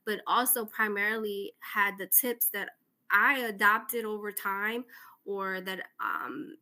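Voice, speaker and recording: A woman's voice: mid-range voice, fairly even intonation, takes it slowly, sharp tone. A woman from New Jersey who speaks English as a second language, talking casually and reflectively as an interview guest. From Camden, New Jersey, USA. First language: not English, English is second language. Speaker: interview guest, author and social worker.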